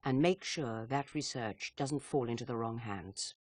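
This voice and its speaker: Actor as a husky, formal spy chief. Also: male voice, mid-range voice, no room sound, clean studio sound, natural voice. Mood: grave, businesslike. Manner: formal, measured, authoritative. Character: senior British intelligence chief briefing his agent, formal, grave. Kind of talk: scripted video game cutscene acting.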